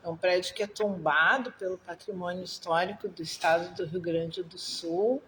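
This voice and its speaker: A woman lecturer speaking off the cuff as a guest, halting and often adding tag questions, in a thank-you speech. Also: lowish voice, steady tone, fairly bright tone, a little hiss or hum, rough recording.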